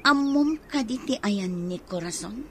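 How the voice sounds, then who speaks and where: A jealous, scornful Filipino wife in radio drama; highly animated pitch, noisy recording. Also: female voice, a little slow. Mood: pressing, demanding.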